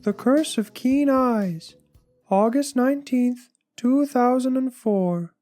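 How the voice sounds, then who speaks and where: A humbled, awkward blogger acted by a woman shares woes in a comic dramatic reading. The voice is fairly high and very mellow, with a plaintive, chatty flow. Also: slow pace, soft background music. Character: humbled, put-upon, awkward oddball blogger.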